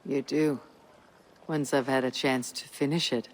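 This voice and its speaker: A man playing a seasoned, pragmatic Viking raider in a video game, measured and resolute. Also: mid-range voice, fairly even intonation, natural voice, rough recording. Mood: calm. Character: seasoned male Viking raider, steady, pragmatic war counsellor. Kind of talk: video game cutscene acting.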